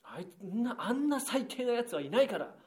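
A man's voice: extremely high pitch, big pitch swings, a little fast, crowd noise. A middle-aged man co-hosting a casual chat, telling personal stories with polite, matter-of-fact answers. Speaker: radio show co-host.

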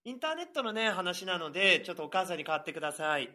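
A patient, over-polite male telephone salesman as acted by a man in a comedy radio sketch. His bright voice swings up and down as he coaxes a child. He hesitates often and is easily flustered. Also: high-pitched, expressive, rapid-fire, heavily acted. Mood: patient coaxing. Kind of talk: improvised comedy radio drama.

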